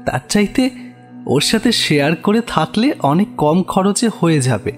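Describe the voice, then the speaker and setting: A man's voice: high voice, sing-song, unhurried, soft background music. A man voicing a gaunt, sickly, doomed man who confesses his guilt solemnly and politely in a horror story. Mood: haunted, recounting. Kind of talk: horror audio story character acting.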